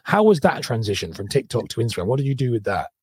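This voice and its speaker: A man with a hoarse voice hosting a podcast, asking eager, rambling questions in casual interview chat. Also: medium pitch, sing-song, fast talker, a little mellow, dry recording. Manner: enthusiastic, rambling questions with many restarts. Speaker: podcast host.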